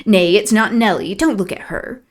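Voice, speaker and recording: A woman voicing a proud, mocking young lady of the house in a bright, teasing tone. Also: mid-range voice, moderate pace, lightly acted.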